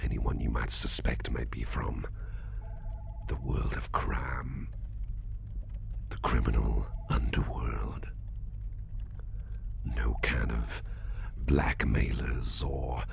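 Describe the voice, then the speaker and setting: A man playing a suave, genteel boss interrogating an employee in an ASMR roleplay, in a gravelly half-whisper with slow, deliberate, wordy phrasing. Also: rumbling low, flat delivery, a little hiss or hum, heavily acted. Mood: probing, suave. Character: suspicious but kindly crime-tinged boss, suave interrogator.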